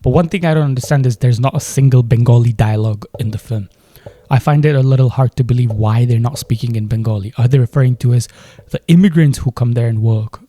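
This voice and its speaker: A man's voice: medium pitch, mellow, no echo. A man from Delhi with an Indian English accent and Hindi as his first language, thinking aloud and questioning as he reacts to a film trailer.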